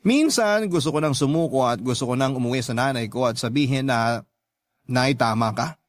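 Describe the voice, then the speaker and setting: Lalaking tagapagsalaysay ng drama, tuyo ang rekording. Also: male voice, mid-range voice, no room sound, clean studio sound. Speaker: radio drama narrator reading a letter. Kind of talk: dramatic scripted first-person narration.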